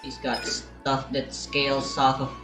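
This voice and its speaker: A man's voice: medium pitch, completely flat delivery, unhurried. A man giving casual, rambling game commentary in an offhand, musing way. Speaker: gamer recording gameplay. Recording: roomy echo, music underneath. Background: game music.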